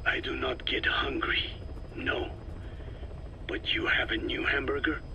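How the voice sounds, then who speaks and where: An actor playing a proud, picky old sage in a video game, with a whispery rasp and solemn, formal phrasing. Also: male voice, mid-range voice, little pitch movement, unhurried, bright, forceful tone, a little hiss or hum, heavily acted, poor audio. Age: older. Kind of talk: scripted video game drama.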